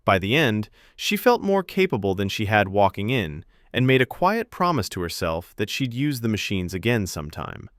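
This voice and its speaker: A man's voice: on the low side, big pitch swings, normal speed, few pauses, dry recording, clean studio sound. A man reading scripted fiction narration as a storyteller.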